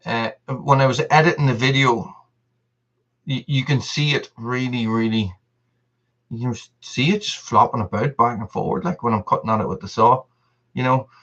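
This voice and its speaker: A man's voice: medium pitch, normal speed, highs cut off. Rambling man on a live stream. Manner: rambling and excitable, laughs often, repeats words. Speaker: channel host on a live stream. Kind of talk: casual live-stream chat answering viewers.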